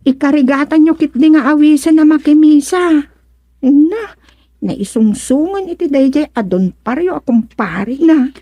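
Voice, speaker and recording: A woman acting in a Filipino radio serial as a devout, exasperated niece, with a Filipino accent and a plaintive, expressive way of talking. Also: high-pitched, a little slow, no echo. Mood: pleading, exasperated. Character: devout, exasperated niece worried about a wayward uncle.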